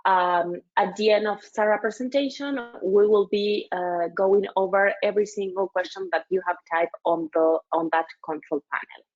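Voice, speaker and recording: A woman's voice: mid-range voice, normal speed, hardly any pauses, lo-fi sound. A woman hosting a webinar, speaking with many hesitations and fillers. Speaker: webinar host.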